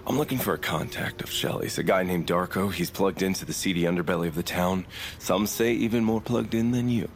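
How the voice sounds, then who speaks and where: A man's voice: low-pitched, a little fast, slight background noise. A man playing a cocky, childish bounty hunter in an audio drama, flippant and chatty. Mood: breezy rambling.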